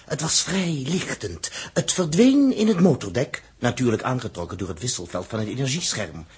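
An actor playing a brusque, secretive, stubborn spaceship technician in a 1960s radio drama, defensive and halting when pressed. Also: male voice, medium pitch, sing-song, husky. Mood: intent, fascinated. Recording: muffled, indistinct old radio recording.

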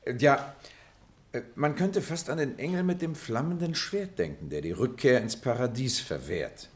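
An actor playing an eloquent, outspoken middle-aged former pupil who broods in a reflective, declamatory manner. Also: male voice, medium pitch, big pitch swings, normal speed, natural voice. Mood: musing. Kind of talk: German literary drama acting.

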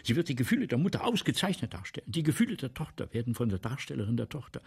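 An actor in a radio play playing a grandiose, anxious playwright, pompous and repetitive, with a gravelly, husky voice. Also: male voice, mid-range voice, big pitch swings, racing, soft background music, exaggerated voice. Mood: fretful, insistent. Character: grandiose, anxious playwright boasting of his premiere. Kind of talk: 1970s German radio play acting.

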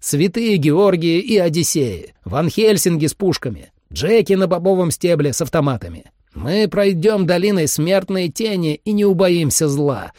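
A man's voice: a little high, sing-song, darkish tone, no room sound, clean studio sound, pitched above the performer's own voice. A man voicing a veteran monster hunter commander in an audiobook, solemn and emphatic, like an orator. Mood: rousing, fierce. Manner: deliberate, emphatic, rousing oratory.

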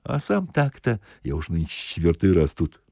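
A man's voice: low-pitched, huge pitch swings, moderate pace, vocal fry, a little mellow, no echo, clean studio sound, voice pushed down, full character voice. A man voicing a timid, grumbling old peasant with folksy, hesitant muttering, in an audiobook story. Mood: sly muttering.

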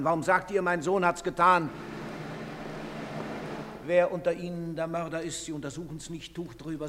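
A man's voice: high voice, bright, forceful tone, slight background noise. An actor playing a bitter father accusing the townspeople in a radio play. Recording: long reverb.

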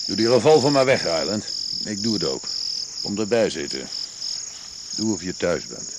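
An actor playing a cool, sardonic deserter in a radio drama, wry, casual and self-assured. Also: male voice, low-pitched, very animated, moderate pace. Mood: wry, composed. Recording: very noisy old radio play recording.

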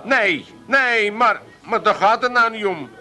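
A man's voice: high-pitched, animated, a little slow, pushed and bright, full character voice. An actor playing a grumbling, naive older Amsterdam father in a comic radio play, stammering and blunt. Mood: sheepish, defensive.

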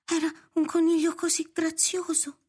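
Naive young talking dog for radio drama: high, childlike, earnest and hopeful. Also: female voice, completely flat delivery, moderate pace, darkish tone. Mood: innocent, hopeful.

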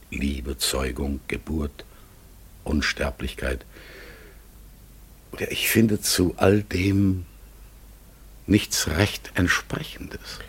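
An actor in a German radio play voicing a mysterious, pensive talking raven, halting and solemn as he searches for words. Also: deep voice, full character voice. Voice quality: gravelly, very husky.

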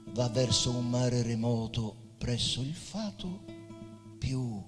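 A man acting on stage as a gracious, gently ironic dying poet, with measured, lyrical, theatrical phrasing. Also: medium pitch, animated. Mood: lyrical, reflective. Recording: hall reverb with guitar music behind. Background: live Portuguese guitar music.

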